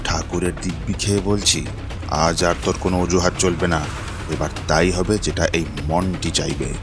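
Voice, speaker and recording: A man's voice: deep voice, little pitch movement, moderate pace. A man playing a cocky, lecherous village gang leader in a cartoon, with a swaggering way of talking. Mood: menacing. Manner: swaggering, repeats an oath catchphrase. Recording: music playing behind the voice.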